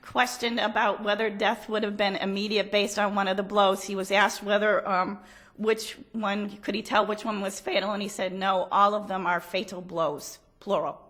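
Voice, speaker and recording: Hoarse woman prosecutor arguing in court. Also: mid-range voice, flat delivery, fairly bright tone. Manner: measured, factual, firm under questioning. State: hoarse from allergies. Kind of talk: appellate oral argument.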